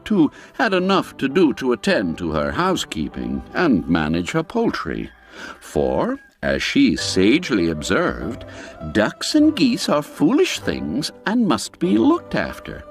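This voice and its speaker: A man narrating a literary story in a theatrical, expressive storytelling manner. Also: low voice, no echo, clean studio sound. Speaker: audiobook narrator. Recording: music behind the voice. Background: music.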